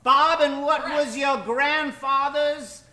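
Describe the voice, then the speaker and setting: A man's voice: extremely high pitch, fairly even intonation, slow pace, pushed and bright. A man hosting a live improv stage show, theatrical and mock-formal, projecting to the crowd in old-time radio style. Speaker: comedy show host. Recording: echoey theater stage, faint hiss.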